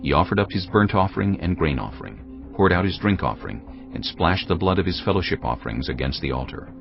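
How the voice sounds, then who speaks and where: A male narrator reading scripture in a measured, grave storytelling manner. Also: low-pitched, expressive, creaky. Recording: dull lo-fi sound over cinematic music. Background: cinematic music.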